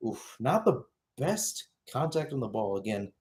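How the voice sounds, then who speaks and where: A man's voice: mid-range voice, sing-song, unhurried, darkish tone, husky. A young man giving live radio-style soccer play-by-play, drifting into conversational, rambling asides and correcting himself as he goes. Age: young adult. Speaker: play-by-play commentator.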